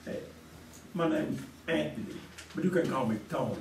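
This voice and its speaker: A man playing a laconic retired engineer on a quiz show, answering in a terse, dry, deadpan way, in old-time radio stage acting. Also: medium pitch, normal speed, far from the mic, slight background noise, close to an everyday voice. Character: laconic retired concrete man, wry and evasive. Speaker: game show contestant. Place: theater stage. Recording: echoey live theater recording from afar.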